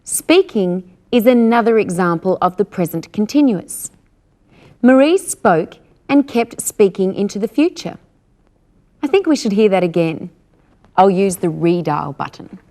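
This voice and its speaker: Slow-paced woman teaching from a script. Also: medium pitch, very animated, warm tone. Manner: didactic, careful articulation. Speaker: English lesson presenter. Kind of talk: scripted instructional narration.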